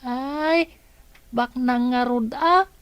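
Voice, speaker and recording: A woman's voice: high-pitched, very slow, no echo. A Filipino woman playing a curious, naive child in a radio drama. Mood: curious, amazed.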